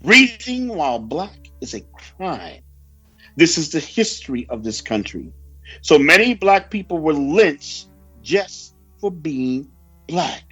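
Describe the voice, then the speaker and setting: A male preacher delivers a sermon-like report over background music in a slightly dull recording. His voice sits fairly high for a man, and he speaks very slowly and emphatically, leaving solemn, dramatic pauses. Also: fairly bright tone. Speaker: preacher reading a prophecy report. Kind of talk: sermon mixed with read-out news report.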